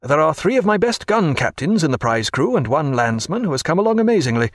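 A man's voice: on the high side, sing-song, fairly quick, no room sound, clean studio sound, pitched above the performer's own voice. A man doing an earnest naval gunner.

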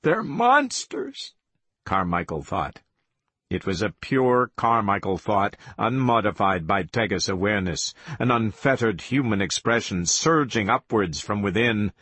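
Low husky guy reading sci-fi slowly, lively pitch, dry sound. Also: no room sound. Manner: slow, measured, expressive. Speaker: audiobook narrator. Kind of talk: dramatic science fiction reading.

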